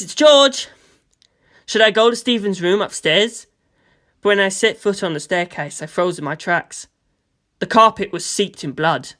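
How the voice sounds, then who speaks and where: A narrator reading a first-person story aloud as an audiobook, in an expressive, conversational and dramatic way. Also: medium pitch. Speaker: audiobook reader.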